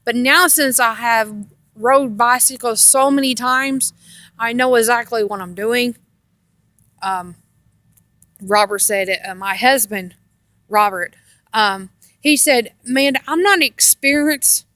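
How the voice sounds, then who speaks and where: High-voiced woman telling rambling stories slowly. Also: sharp tone, dry recording. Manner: halting, meandering, repeats herself. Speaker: storyteller on own video channel. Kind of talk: casual rambling personal storytelling.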